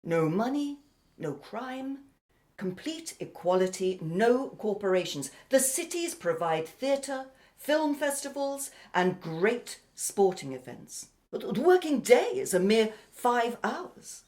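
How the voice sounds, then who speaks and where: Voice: mid-range voice, very animated, takes it slowly, darkish tone. An actor playing a precise, haughty, educated foreign exile in a radio play, with formal, pedantic phrasing. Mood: dreamy.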